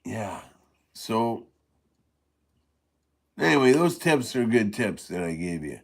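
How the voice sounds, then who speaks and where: A middle-aged man with a low voice chatting casually about playing harmonica, halting and rambling with many fillers and restarts. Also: sing-song, gravelly. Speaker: harmonica player giving tips. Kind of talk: casual live-stream chat.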